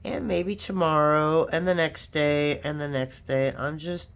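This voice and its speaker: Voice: medium pitch, fairly even intonation, a little slow, fairly bright tone, smooth. A sniffly craft vlogger, chatting casually and rambling through asides while working on a project. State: sniffly, stuffed up from allergies or a cold.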